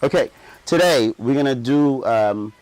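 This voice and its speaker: A man's voice: medium pitch, a little slow, darkish tone, dry recording, rough recording. A man with a partly English accent hosting a cooking show as a chef, giving a chatty step-by-step demonstration and often checking in with the viewer. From Guyana. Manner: chatty, keeps checking in with "right?".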